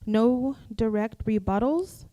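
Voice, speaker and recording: A woman's voice: fairly high pitch, takes it slowly, mellow. A woman staffer formally reading out the rules at a live forum. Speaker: youth program staffer reading debate rules. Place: live candidate forum before an audience.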